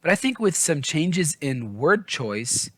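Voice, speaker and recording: A man's voice: a little high, big pitch swings, slow pace, a bit bright, slight background noise. A man chatting informally to viewers about a book, rambling and self-deprecating as he works out his thoughts. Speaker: book review vlogger.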